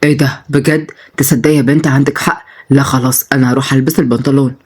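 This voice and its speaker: A woman voicing a lovestruck, excitable Egyptian grandfather in a storybook, earnest and dramatic. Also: rumbling low, very fast, slightly rough, pitched below the performer's own voice. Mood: flustered. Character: lovestruck, excitable grandfather, sporty and easily flustered.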